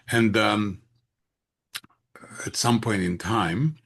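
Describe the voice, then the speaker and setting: A man in his sixties from Romania, with a Romanian accent, answering interview questions conversationally in halting phrases with frequent pauses. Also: fairly low pitch, animated, husky. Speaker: interview guest, entrepreneur.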